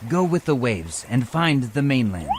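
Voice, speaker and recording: A man voicing a calm, hopeful young husband in a children's storybook. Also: medium pitch, measured pace, background noise.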